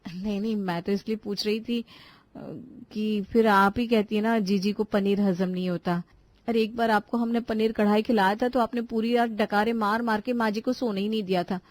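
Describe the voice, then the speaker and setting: A woman's voice: mid-range voice, steady tone, normal speed, darkish tone, natural voice. A woman voicing an outspoken young daughter-in-law in a cartoon family drama, needling others with mock innocence and sarcasm. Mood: mock innocent. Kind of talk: animated family drama voice acting in Hindi.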